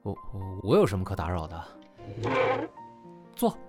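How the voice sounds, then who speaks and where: A man's voice: deep voice, huge pitch swings, normal speed. A man playing a guarded, wistful young performer with a wry manner in an audio drama. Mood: subdued. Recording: music behind the voice. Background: music.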